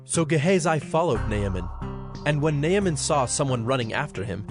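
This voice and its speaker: A man's voice: medium pitch, lively intonation, normal speed. A man giving scripted narration for a dramatized scripture recording. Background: instrumental music.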